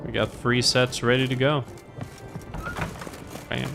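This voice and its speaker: Slow-paced male game streamer thinking aloud. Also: medium pitch, level tone, vocal fry. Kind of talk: casual gameplay commentary. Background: faint game music.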